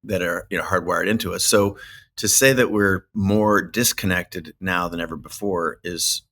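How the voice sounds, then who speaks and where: Deep-voiced host asking long questions. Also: male voice, a bit bright. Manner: measured, long winding questions. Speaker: podcast host. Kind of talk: interview hosting.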